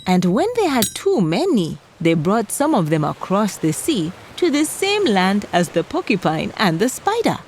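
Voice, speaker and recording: Animated woman storyteller for children. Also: medium pitch, moderate pace, hardly any pauses, slight background noise. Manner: theatrical, addressing young listeners directly. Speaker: children's folktale podcast host and storyteller.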